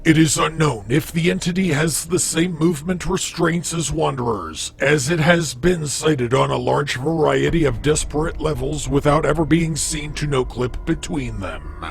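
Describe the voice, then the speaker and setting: Slightly hoarse man reading an entry. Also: on the high side, faint music behind. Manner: measured, deliberate reading with slow section headings. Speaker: narrator. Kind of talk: reading a scripted wiki entry.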